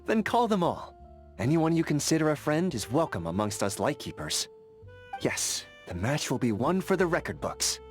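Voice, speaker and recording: An actor playing an earnest, vigilant young sentry in a fantasy video game, friendly but overcautious, speaking in a methodical, conversational way. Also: male voice, on the high side, sing-song, moderate pace, faint music behind. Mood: competitive, eager. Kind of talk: video game character dialogue.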